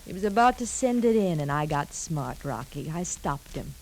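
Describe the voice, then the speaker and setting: An actress playing a scheming, cornered femme fatale in a 1950s radio drama, evasive, defiant and pleading. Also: female voice, deep voice, expressive, normal speed, darkish tone, low-quality recording.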